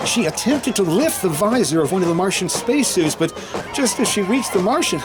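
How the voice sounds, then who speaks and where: A man's voice: high voice, lively intonation, fairly quick, low-quality recording. A man acting a solemn parade radio announcer. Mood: alarmed. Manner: hesitant, dramatic on-scene reporting. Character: tense radio announcer reporting live on a parade. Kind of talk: 1950s radio drama commentary.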